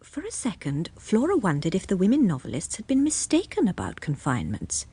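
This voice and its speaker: A woman's voice: mid-range voice, wildly swooping pitch, brisk, dark tone, husky, exaggerated voice. A woman playing a novelist narrating a radio drama, with an arch, ironic manner. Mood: wry. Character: woman novelist narrating, dry and wryly amused.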